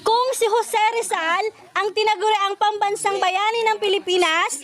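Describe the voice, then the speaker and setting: Very high-pitched Filipino woman quiz host. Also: fairly even intonation, moderate pace, fairly bright tone. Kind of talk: reading out trivia questions to contestants.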